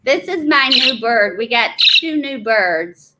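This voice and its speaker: A woman's voice: fairly high pitch, lively intonation, slow, very noisy. A woman in her forties chatting and teasing on a group video call. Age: 40s. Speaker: person showing mail on a group call. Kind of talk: casual chat.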